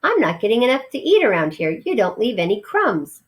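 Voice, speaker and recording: A woman's voice: fairly high pitch, very animated, fairly quick. Woman reading as a small, eager, indignant boy mouse. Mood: complaining. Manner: indignant, complaining, wheedling. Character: Ralph, small eager pleading young male mouse.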